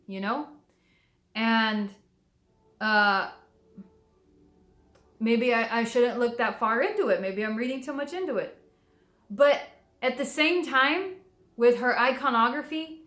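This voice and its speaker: A woman's voice: fairly high pitch, fairly bright tone, reverberant. A woman talking casually to camera, chatty and rambling, full of fillers and restarts. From southern Texas near the Mexican border. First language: English. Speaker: devotional video creator.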